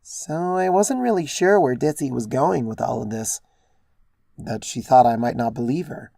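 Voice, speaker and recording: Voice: mid-range voice, animated, normal speed, gravelly, mellow, dry recording, studio quality. A narrator reading a first-person story as scripted narration. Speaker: fan fiction narrator.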